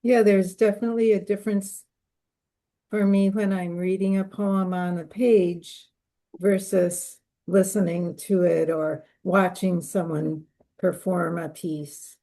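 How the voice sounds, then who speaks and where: A poet, a woman who is hoarse from a cold, speaking hesitantly on a video call and clearing her throat often. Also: fairly low pitch, little pitch movement, takes it slowly, mellow. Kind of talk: casual discussion. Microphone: computer mic on a video call.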